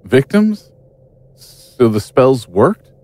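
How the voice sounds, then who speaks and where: A man's voice: medium pitch, sing-song, very slow, mellow, no room sound. A man playing a blunt, wounded, self-destructive friend in an audio drama, with a questioning manner.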